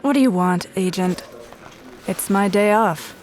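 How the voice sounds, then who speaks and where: Woman acting a slow, sardonic detective in her thirties. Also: medium pitch, natural voice. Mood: guarded, irritated. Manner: dry, deadpan, curt. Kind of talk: naturalistic audio drama dialogue.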